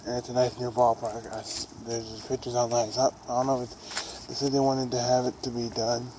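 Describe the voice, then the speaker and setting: Young male vlogger with a stuffy nasal voice, monotone rambling, recorded on a phone outside with heavy noise. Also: mid-range voice, fairly quick. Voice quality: nasal, congested. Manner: rambling, with restarts and fillers. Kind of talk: casual rambling baseball vlog. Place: outdoors near buildings. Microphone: phone camera held low.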